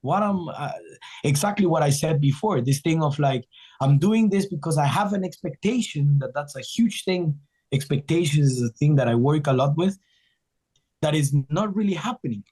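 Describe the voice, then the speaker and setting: Mellow-voiced man. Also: a little high, lively intonation, normal speed, husky. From Ecuador. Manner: earnest, rambling, with frequent restarts. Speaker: guest musician. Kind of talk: casual interview storytelling.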